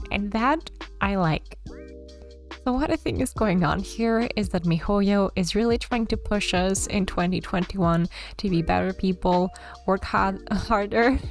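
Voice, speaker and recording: A woman hosting a casual commentary video, talking to viewers in an informal, thinking-aloud way. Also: fairly high pitch. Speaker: video host giving game character analysis. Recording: music playing behind the voice. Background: background music.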